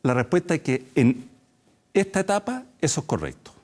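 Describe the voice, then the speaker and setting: A man, a doctor guest in a studio TV interview, explaining in a measured way with some hesitations. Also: fairly high pitch, big pitch swings, darkish tone. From Chile.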